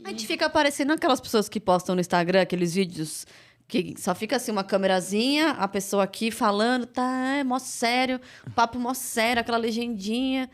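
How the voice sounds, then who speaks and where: A woman's voice: on the high side, a little fast. A woman guesting on a podcast, playful and joking in a casual chat.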